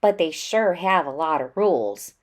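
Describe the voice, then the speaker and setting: A sceptical young boy bear cub from a storybook, played by a woman reader. He is plain-spoken and boyish, with an animated rise and fall in pitch. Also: moderate pace. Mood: matter-of-fact.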